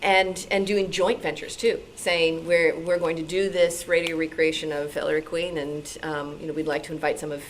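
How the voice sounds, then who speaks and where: Female club member, slightly low voice, informal discussion, faint background noise. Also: level tone, moderate pace, hardly any pauses, poor audio. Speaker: club member, actor and former teacher. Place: club meeting room.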